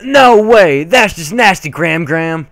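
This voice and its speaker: A man voicing a mischievous, excitable, teasing male pony cousin in a cartoon story. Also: high voice, a little slow, gravelly, no room sound, pitched above the performer's own voice, heavily acted. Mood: gleeful.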